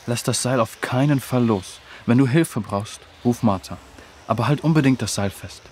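A man's voice: mid-range voice, normal speed, darkish tone, slight background noise, close to an everyday voice. A man playing a brave, responsible young rescuer who gives firm instructions in a children's audio drama. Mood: urgent, decisive. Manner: decisive, instructing.

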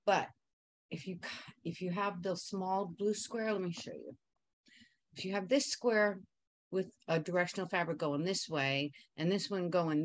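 A woman's voice: fairly low pitch, dry recording. A woman teaching a quilting technique in a chatty, upbeat way, wandering into asides while she sews. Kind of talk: casual sewing tutorial.

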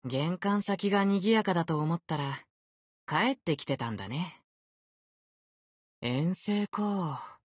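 A man's voice: on the high side, lively intonation, slow pace, long pauses, slightly rough, no room sound, clean studio sound, heavily acted. A man voicing an easygoing, unfazed ancient sword spirit in youthful male form for a game, with languid, nonchalant phrasing. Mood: airy, nonchalant. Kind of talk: Japanese game character voice acting.

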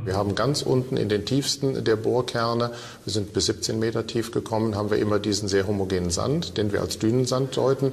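A man with a lowish, gravelly voice explains things as an expert in an interview. He adds asides to clarify his terms. The room is reverberant, with some background noise. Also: a little fast, hardly any pauses.

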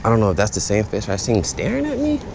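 Low-voiced man with very lively pitch, outdoors. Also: fast talker, gravelly. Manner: informal, repeats phrases, talks to himself. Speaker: fishing vlogger. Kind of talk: casual solo vlog chat while fishing. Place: outdoors in a backyard beside a small pond. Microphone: body-worn action camera. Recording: noisy outdoor action-camera audio.

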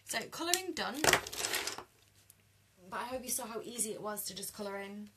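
A young British woman with a croaky voice chatting easily with live viewers while she colours at a craft desk. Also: medium pitch, animated, moderate pace, heavy background noise. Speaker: card-making demonstrator. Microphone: overhead camera over a desk.

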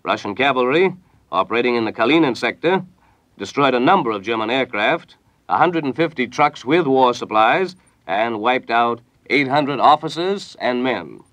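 Male American 1940s radio news anchor; formal, measured bulletin reading, mid-pitched, close dry studio sound. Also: lively intonation, a bit bright, no room sound.

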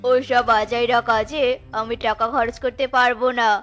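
Woman voicing a cartoon young husband, harsh, scolding, curt, high-pitched. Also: fairly even intonation, normal speed, fairly bright tone, faint music behind, natural voice. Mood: dismissive. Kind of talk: cartoon voice acting.

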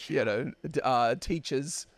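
A man in his fifties hosting a podcast, telling a story in a halting, drawn-out way with many fillers, at a desk mic in a dark studio. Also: mid-range voice, dry recording. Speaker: podcast host. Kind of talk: casual storytelling chat.

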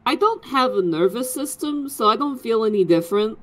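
A woman's voice: a little high, animated, brisk, a bit bright. A woman playing a literal-minded, matter-of-fact construct in a tabletop roleplaying game, speaking with pedantic precision.

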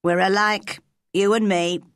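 A woman voicing a gruff, blunt, lonely old male gardener in a storybook reading. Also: medium pitch, fairly even intonation, extremely slow, a bit bright, no echo, clean studio sound. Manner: blunt, curt.

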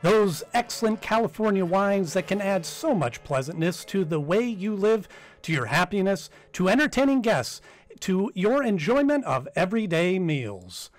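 A male announcer in classic 1940s radio style. His voice is high and very animated, selling with showy polish over music. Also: normal speed.

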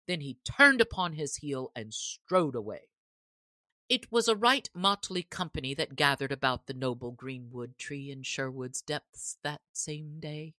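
Low-voiced woman narrating, slow and bright. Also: expressive, no room sound. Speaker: audiobook narrator. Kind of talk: scripted storybook narration.

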